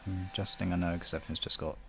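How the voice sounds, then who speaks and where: A man's voice: deep voice, level tone, very fast, gravelly, background noise. A man chatting casually and distractedly to his stream viewers in muttered, broken-up phrases while he sets things up. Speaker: live streamer, host.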